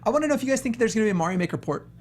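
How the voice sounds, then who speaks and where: A high-voiced man talks rapidly as a panel host. His delivery is slangy and emphatic, coming in excited bursts of casual gaming chat. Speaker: show host. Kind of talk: casual panel chat about video games.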